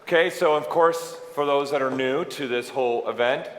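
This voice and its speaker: A man's voice: mid-range voice, normal speed, fairly bright tone. A man hosting a live conference, chatty and joking as he makes announcements to the crowd. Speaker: event host. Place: large event hall with audience. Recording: echoey hall, faint room noise.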